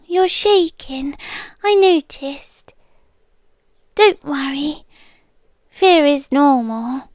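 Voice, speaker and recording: A British woman playing a possessive, calmly menacing yandere girlfriend in an intimate ASMR roleplay, breathy and often dropping to a whisper. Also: high voice, no room sound, clean studio sound. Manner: slow, deliberate, breathy, drifting into whispers.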